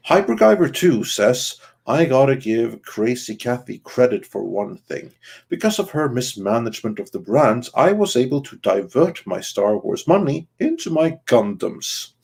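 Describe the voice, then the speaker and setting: A man's voice: mid-range voice, very animated, a little slow, creaky, a little mellow, husky. A man hosting a podcast livestream and reading out viewer comments. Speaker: podcast host.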